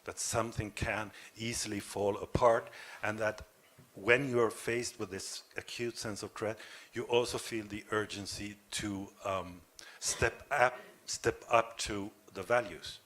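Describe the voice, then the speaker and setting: A man from Norway answering at a panel discussion, speaking haltingly with many repeated words. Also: lowish voice, steady tone, fairly bright tone.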